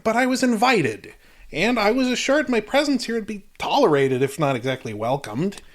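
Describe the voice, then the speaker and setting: An actor playing a polite, formal, slightly nervous young suitor in an audio drama. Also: male voice, high voice, sing-song, normal speed. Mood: nervous, earnest.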